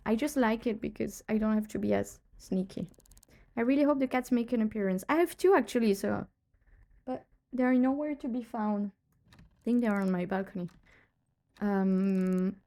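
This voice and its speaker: A woman streaming a detective game while nursing a cold, her voice pulled lower and gravelly. She rambles in a relaxed, mellow tone, drifting between the game and chatter about her cats. She laughs easily as she goes. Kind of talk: casual live-stream chat.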